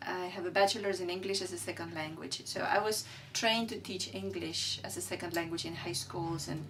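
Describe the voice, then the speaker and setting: Lower-pitched, thoughtful woman. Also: fairly even intonation, fairly bright tone. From Argentina. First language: Spanish. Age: middle-aged. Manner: thoughtful, halting, searching for words. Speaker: artist being interviewed. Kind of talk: reflective interview talk about own work. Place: indoors beside a displayed print. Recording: distant mic, light background noise.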